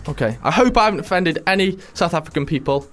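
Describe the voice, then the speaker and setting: A man's voice: fairly high pitch, lively intonation, quick pace, fairly bright tone, soft background music. A man with a Stoke-on-Trent accent, hosting a radio show and teasing his co-host in casual chat. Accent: Stoke-on-Trent English. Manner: teasing, chatty.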